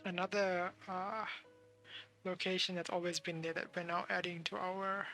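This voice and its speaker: A woman gives casual commentary as a game streamer, thinking out loud in speculation. Her voice is bright with a lot of creak at a middling pitch. Soft music runs behind her. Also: little pitch movement, moderate pace. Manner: muses aloud, speculating.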